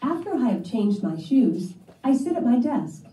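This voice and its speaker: Very mellow female storybook narrator, echoey. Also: fairly high pitch, big pitch swings, normal speed. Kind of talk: scripted read-aloud of a child's first-person letter. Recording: echoey playback heard from a distance.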